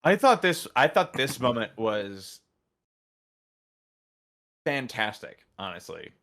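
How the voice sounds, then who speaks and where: A man's voice: medium pitch, big pitch swings, a bit bright. Rambling American man chatting. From United States. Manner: rambling, halting, enthusiastic with repeated words. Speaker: podcast co-host. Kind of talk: casual chat about a TV show.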